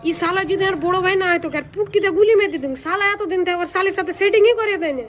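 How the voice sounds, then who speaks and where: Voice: very high-pitched, rapid-fire, fairly bright tone, slight background noise. A performer voicing a rude, sly little brother in a cartoon comedy skit. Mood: angry.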